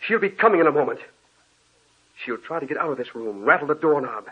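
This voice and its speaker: A tense, haunted husband, curt and fearful on an old radio drama. The voice is husky and sits at a middling pitch. It comes through a thin, tinny broadcast recording. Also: male voice, expressive, fast. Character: haunted man, tense, paranoid, sleepless. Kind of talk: 1940s radio acting.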